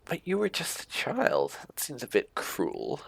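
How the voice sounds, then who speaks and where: A woman's voice: low-pitched, wildly swooping pitch, unhurried, gravelly, husky, dry recording, low-quality recording. A woman doing roleplay game commentary, musing and hesitant, with thoughtful hums as she reads dialogue choices aloud. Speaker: gaming video host and player.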